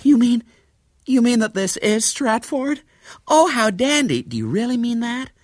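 Storybook role: excitable young American lady, high-pitched and gushing, performed by a man. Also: a little fast, no room sound, studio quality, exaggerated voice. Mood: hopeful, thrilled. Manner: gushing, eager, wide-eyed. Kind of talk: storybook character voice.

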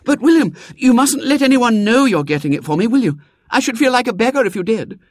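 A bossy little girl with a high, ringing voice that swoops up and down, sounding dry and close, as a man puts her on for a storybook. She gives orders and pleads by turns. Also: very animated, fairly quick, no echo, full character voice. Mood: delighted. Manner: commanding, high and breathless.

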